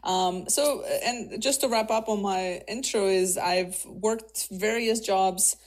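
Woman, 30s, from Russia, native Russian speaker; career guest, casual and rambling, middle pitch. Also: slow. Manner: chatty, many fillers and restarts. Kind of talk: casual interview.

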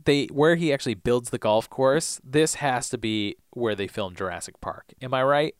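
A man's voice: medium pitch, moderate pace, clean tone, dry recording, studio quality. A man co-hosting a podcast, chatting casually and retelling scenes playfully. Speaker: podcast co-host. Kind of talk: casual chat, recapping TV episodes.

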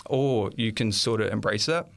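A man sharing his faith testimony as an interview guest, earnest and reflective, pausing often as he thinks aloud. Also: lowish voice, vocal fry, fairly bright tone.

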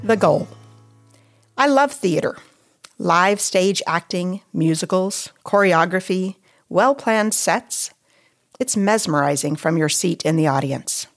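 A woman reading a scripted devotional in a measured, deliberate way with pauses between phrases. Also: fairly low pitch, animated, soft background music. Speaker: devotional writer and reader.

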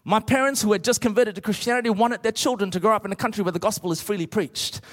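Male preacher, middle-aged, Australian accent. Notably high voice, emphatic comic storyteller, big reverberant hall. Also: fast. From Australia, born in Malaysia. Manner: emphatic, rhetorical questions to the congregation. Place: large church auditorium, speaking to a congregation.

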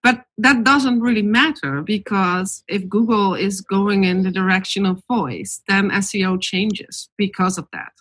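A woman's voice: medium pitch, fairly even intonation, a little slow, hardly any pauses, a bit bright, slightly rough. A woman with a Dutch accent, a company CEO speaking frankly and matter-of-factly as a panel guest. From Netherlands. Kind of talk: panel discussion.

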